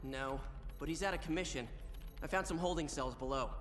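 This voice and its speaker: A man's voice: on the high side, fairly quick, a bit bright, a little hiss or hum, lightly acted. An actor playing an earnest, confident teenage boy hero in a video game, brave and quippy in manner. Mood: matter-of-fact. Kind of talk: video game cutscene acting.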